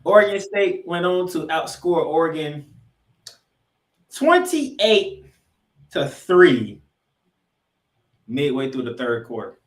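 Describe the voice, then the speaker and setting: A man's voice: fairly high pitch, animated, takes it slowly, long pauses. Echoey, emphatic male sports podcast host. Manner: emphatic, showman-like delivery with dramatic announcements. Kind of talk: animated sports recap commentary. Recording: echoey room, distant from mic.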